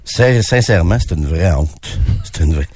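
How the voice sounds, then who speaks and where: A man's voice: low-pitched, lively intonation, moderate pace, a little mellow. A man with a Québécois accent co-hosting a radio talk show, opinionated and indignant, often restarting his sentences. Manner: emphatic, indignant, many restarts. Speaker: radio co-host. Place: radio studio.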